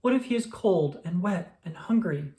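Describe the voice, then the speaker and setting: A reader voicing a fretful, excitable male toad, an anxious and loyal friend, in a children's storybook. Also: mid-range voice, normal speed, pitched above the performer's own voice, lightly acted. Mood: worried, panicked. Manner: worried, exclamatory. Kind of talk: children's storybook read-aloud.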